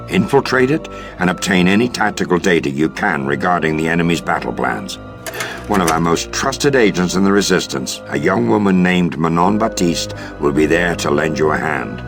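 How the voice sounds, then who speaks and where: An actor playing a commanding American military officer in a video game, giving a measured, formal, authoritative mission briefing. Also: male voice, rumbling low, vocal fry, heavily acted. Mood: businesslike. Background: music.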